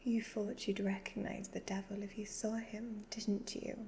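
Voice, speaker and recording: Slow breathy evil witch, acted by a woman. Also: mid-range voice, little pitch movement, mellow, close to an everyday voice. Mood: sinister, hypnotic. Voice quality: breathy, half-whispered. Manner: slow, deliberate, hypnotic, condescending. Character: evil ageless witch, youthful-looking, gloating, seductive, predatory. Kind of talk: soft-spoken ASMR roleplay. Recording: faint background noise.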